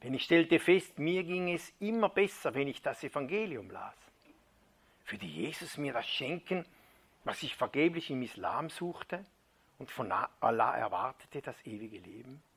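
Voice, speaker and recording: A man preaching, retelling a first-person conversion story as a dramatic reading that drops to a hushed near-whisper at key moments. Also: mid-range voice, expressive, measured pace, breathy edge.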